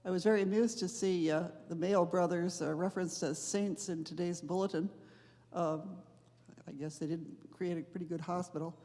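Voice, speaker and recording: A woman's voice: fairly low pitch, fairly even intonation, normal speed, dark tone. Chatty woman from Canada, wry. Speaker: visiting class participant. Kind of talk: casual self-introduction. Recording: long church-hall reverb.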